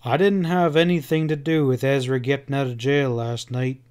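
A man voicing a grave, wounded cowboy in a Western audiobook, quiet and plain-spoken. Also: medium pitch, steady tone, moderate pace, smooth, natural voice. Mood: quiet, bitter. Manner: quiet, rustic Western speech.

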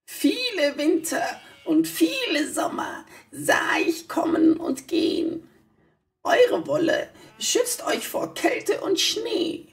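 A woman voicing a tiny ancient gnome in a children's audio play, gently murmuring with patient, whispery slowness. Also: extremely high pitch, big pitch swings, recorded from a distance, full character voice. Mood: gentle, soothing. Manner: slow, soothing murmur.

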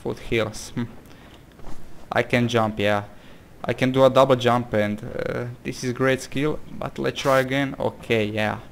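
A man's voice: mid-range voice, steady tone, a little slow, vocal fry, slight background noise. A man from Croatia with a Croatian accent, casually commenting on a game, hesitant and exclamatory, reading its text aloud. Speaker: gameplay commentator. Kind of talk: casual let's-play commentary.

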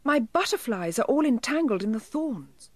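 A woman's voice: on the high side, sing-song, moderate pace, darkish tone, no echo, voice pushed up. A woman with a British accent voicing a tiny, polite, pleading male elf in a children's storybook. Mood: anxious pleading.

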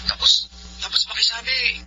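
A man's voice: very high voice, fairly even intonation, normal speed, background noise. An actor playing an earnest, lovelorn young man, pleading emotionally in a radio romance drama. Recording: muffled audio under loud music.

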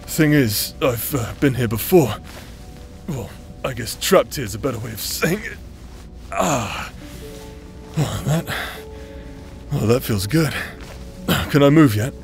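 A man's voice: medium pitch, gravelly. A man acting out a cocky, battle-worn adventurer, protective and teasing, in an audio roleplay.

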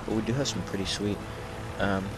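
Hoarse teen gamer, low-pitched, restarts often, casual commentary over loud gunfire. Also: male voice, brisk, very noisy. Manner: rambling, self-correcting, with frequent restarts. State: hoarse and sick. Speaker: gameplay commentator. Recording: loud gunfire from the game behind.

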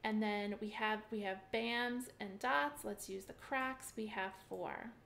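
A woman's voice: medium pitch, steady tone, unhurried, vocal fry, a bit bright. Upbeat woman teaching step by step. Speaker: mahjong teacher. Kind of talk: screen-share tutorial walkthrough.

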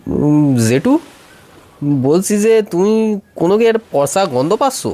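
A younger fisherman played by a man asks things hesitantly and respectfully. His voice is high and soft-edged with a dark tone, drawn out slowly in an audio horror story. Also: animated. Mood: puzzled unease. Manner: hesitant, questioning.

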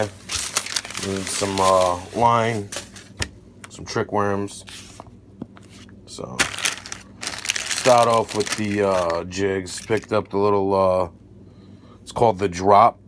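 A man casually narrating an unboxing vlog as the creator, indoors at a table. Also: sits low. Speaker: fishing video creator. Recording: noticeable background noise.

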